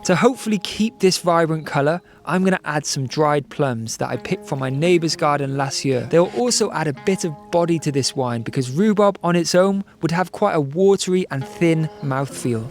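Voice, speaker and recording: A man giving calm, scripted voice-over narration, explaining each step clearly. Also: on the high side, normal speed, few pauses, soft background music. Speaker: vlogger narrating.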